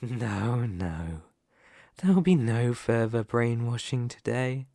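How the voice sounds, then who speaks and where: A man playing a dominant, vain and possessive demon lord in an ASMR roleplay, speaking formally and theatrically with deliberate dramatic pauses. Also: medium pitch, creaky, warm tone, no room sound, studio quality. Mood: coolly possessive. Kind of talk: ASMR roleplay character monologue.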